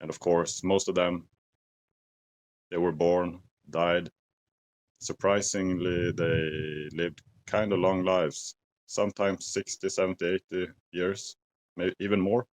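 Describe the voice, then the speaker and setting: A man in his forties with a Swedish accent, talking slowly and thoughtfully to camera outdoors in a forest at dusk, pausing to search for English words. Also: deep voice, level tone. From Sweden. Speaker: outdoor vlogger. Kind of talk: casual talk to camera.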